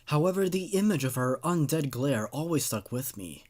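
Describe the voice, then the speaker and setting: A man's voice: fairly high pitch, animated, normal speed, darkish tone. Man reading as a sleepless, paranoid horror narrator. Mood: haunted, uneasy. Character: sleepless, paranoid young person confessing a creeping dread. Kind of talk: first-person horror story narration.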